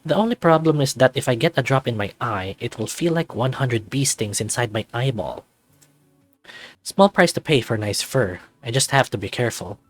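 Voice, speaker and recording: A man's voice: mid-range voice, animated, moderate pace. A young man reading first-person visual novel narration in a cocky, wry, conversational manner.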